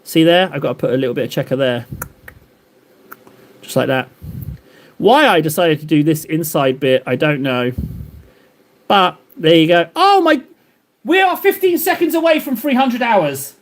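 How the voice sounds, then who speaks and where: A man with a fairly high voice chats casually on a live stream as he paints. He rambles, cracks self-deprecating jokes and answers his viewers in a loose, playful way. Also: lively intonation, moderate pace, long pauses. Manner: rambling, jokey, banters with chat.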